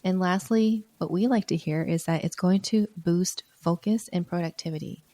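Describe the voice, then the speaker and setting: A woman hosting a solo podcast, speaking in an earnest, encouraging way, her voice slightly hoarse from a sore throat. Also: on the low side, moderate pace, dark tone, no room sound. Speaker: podcast host.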